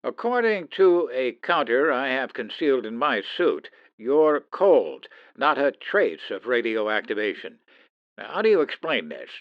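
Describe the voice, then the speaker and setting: A man's voice: mid-range voice, sing-song, measured pace, no echo, highs cut off. A man playing an authoritative, protective middle-aged father and officer in an old-time radio drama, urgent and theatrical. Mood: stern, probing. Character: commanding, protective father, suspicious military officer.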